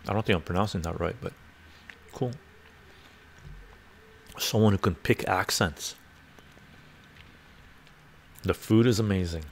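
Older man, gravelly low voice, livestream chat. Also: unhurried, pauses often, slight background noise. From Canada. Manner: chatty, answers viewer comments aloud. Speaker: livestream host reading chat. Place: home study with bookshelves. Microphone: lapel mic.

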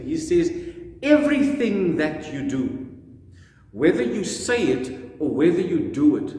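A middle-aged man preaching a sermon as a pastor, with deliberate, emphatic phrasing and repetition. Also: on the high side, darkish tone. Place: indoors in a church, standing before the camera. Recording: very echoey church hall, long reverb.

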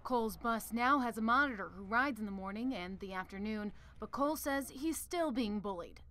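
High-pitched woman reporting the news. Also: moderate pace, fairly bright tone. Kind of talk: scripted news narration.

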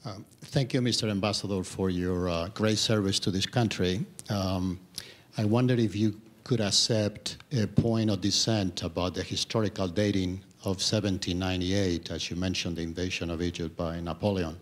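A man from Spain in the audience, earnestly putting a long, slightly halting question to the speaker. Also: low-pitched, steady tone. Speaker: audience member asking a question. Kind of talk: question from the floor.

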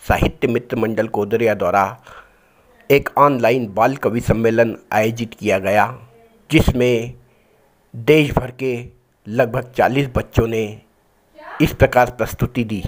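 A man reading a scripted news voice-over in a brisk, formal announcing manner. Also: medium pitch. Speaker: news narrator.

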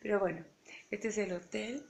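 Tired, soft-spoken woman vlogging from a hotel. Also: medium pitch, fairly even intonation, normal speed, vocal fry, husky. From Peru. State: tired, voice going. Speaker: pilgrim. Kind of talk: casual vlog. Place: hotel room, indoors. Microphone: phone camera at arm's length.

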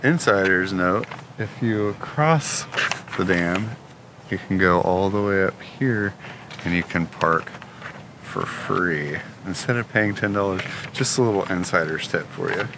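Low-voiced man vlogging over background noise. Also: measured pace. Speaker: travel vlogger. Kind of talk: casual chat to camera. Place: inside a camper and outdoors. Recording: clear background noise on camera mic.

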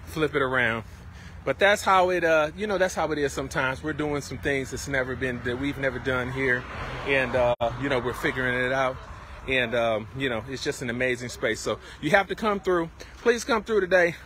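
A middle-aged man hosting a casual livestream as a farm stand vendor, with an enthusiastic, repetitive sales pitch. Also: moderate pace, sharp tone. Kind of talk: casual promotional livestream chat. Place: outdoors at a tented farm stand by a street. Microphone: handheld phone, around arm's length. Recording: noisy outdoor street-side phone recording.

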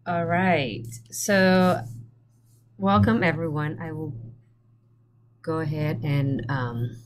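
A woman's voice: sits low, steady tone, takes it slowly, a little hiss or hum. A woman school counselor born in Vietnam, introducing a session on a video call with frequent ums and restarts. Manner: hesitant, many ums and restarts. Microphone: webcam on video call.

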